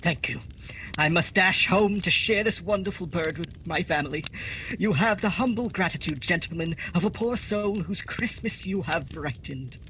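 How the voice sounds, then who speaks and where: A man acts a humble old-fashioned gentleman in a radio play, effusive with thanks. His voice sits high, and there is clear noise on the recording. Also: expressive, normal speed. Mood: grateful. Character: humble, grateful, flowery-spoken poor gentleman. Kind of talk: radio drama acting.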